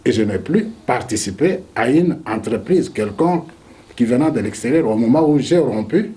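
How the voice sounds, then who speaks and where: A man debating as a historian, measured and reasoning aloud with rhetorical questions. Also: mid-range voice, lively intonation, dark tone. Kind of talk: debate argument.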